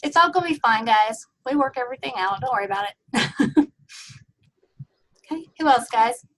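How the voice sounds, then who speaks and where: Woman teacher from Texas, higher voice, friendly chatter over a video call. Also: lively intonation, normal speed, fairly bright tone, low-quality recording. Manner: friendly, chatty, laughs often. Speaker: course instructor. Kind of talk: leading an online class introduction round.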